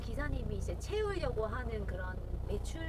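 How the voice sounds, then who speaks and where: A woman's voice: a little high, sing-song, moderate pace, darkish tone. A woman interviewing a guest with cheerful, teasing questions. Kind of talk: casual interview.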